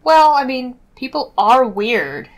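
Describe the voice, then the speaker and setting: A woman's voice: high-pitched, big pitch swings, slow pace. A woman bantering casually as a tabletop game player, with a wry, joking manner.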